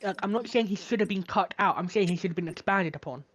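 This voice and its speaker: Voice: medium pitch, fast, crowd noise. A podcast co-host arguing a point in a casual film discussion, often stumbling as they start a sentence. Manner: persistent, argumentative, stumbles over openings.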